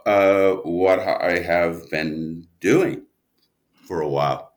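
A man speaking as an interview guest, a writer telling stories conversationally in an animated but halting manner. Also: sits low. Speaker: guest, audio drama writer and producer.

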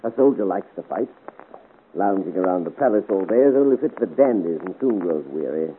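An actor playing a hearty French musketeer in a radio adventure serial, brisk and declamatory. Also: male voice, low-pitched, expressive, phone-quality sound. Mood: hearty, comradely. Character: hearty, challenging 17th-century French musketeer soldier. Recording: scratchy 1950 radio serial, thin and noisy.

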